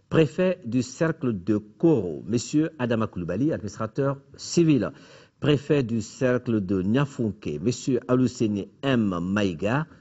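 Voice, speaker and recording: Low-voiced Malian newsreader, man, dull sound. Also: darkish tone. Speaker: news presenter reading an official communiqué. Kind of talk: formal scripted news reading.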